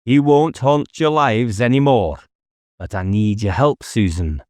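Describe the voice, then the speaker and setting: A man's voice: medium pitch, a little slow, darkish tone, no echo, clean studio sound, pitched above the performer's own voice, close to an everyday voice. A man voicing an intense, driven police officer in an audiobook, with an urgent, insistent manner. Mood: earnest, persuasive.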